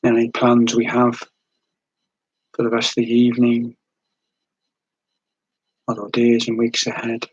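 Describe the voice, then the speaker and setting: A man leading a guided meditation in a gentle, soothing, unhurried way, with long pauses between short phrases and a breathy edge to the voice. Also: mid-range voice, monotone, dry recording, dull audio.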